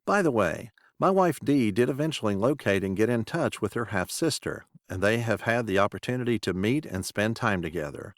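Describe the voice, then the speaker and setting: A man's voice: lowish voice, expressive, fairly quick, hardly any pauses, no room sound, studio quality. A mature man with an American accent, reading a podcast host's scripted sign-off. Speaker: podcast host. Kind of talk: scripted podcast outro narration.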